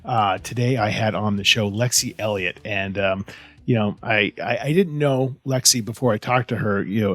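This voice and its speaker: A man's voice: medium pitch, no echo. A man hosting a casual interview podcast, rambling with many restarts and fillers. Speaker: podcast host.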